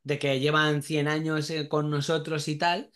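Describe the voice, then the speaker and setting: Higher-pitched man from Spain, chatting. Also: flat delivery, unhurried, a bit bright, clear, resonant tone. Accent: Spanish (Spain). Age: middle-aged. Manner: informal, chatty. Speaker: podcast host. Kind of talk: casual interview chat. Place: small home room, indoors. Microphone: video call.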